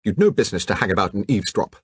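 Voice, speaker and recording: A man voicing a blunt, gruff woman painter who speaks curtly and harshly. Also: lowish voice, sing-song, rapid-fire, dry recording, clean studio sound, voice pushed up. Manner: curt and harsh.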